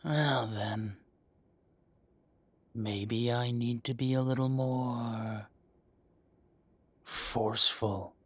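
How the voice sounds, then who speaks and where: A man acting as a possessive dragon shifter mafia boss in a roleplay audio drama, speaking with mock politeness and deliberate, taunting menace. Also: medium pitch, steady tone, husky. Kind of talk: scripted roleplay audio drama, addressing the listener.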